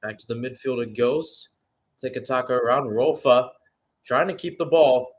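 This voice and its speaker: A man's voice: mid-range voice, normal speed. A man giving live radio-style play-by-play commentary on a football match, announcer-like and speaking in short phrases.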